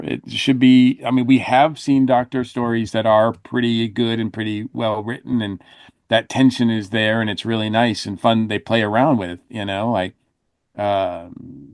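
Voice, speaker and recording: Male podcast panelist, lowish voice, close dry sound, loose and hesitant conversational delivery. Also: no echo. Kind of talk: casual review discussion.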